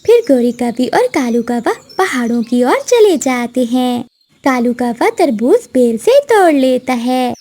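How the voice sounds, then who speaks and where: A woman's voice: high voice, big pitch swings, measured pace, dark tone. A woman narrating a scripted children's story as the storyteller.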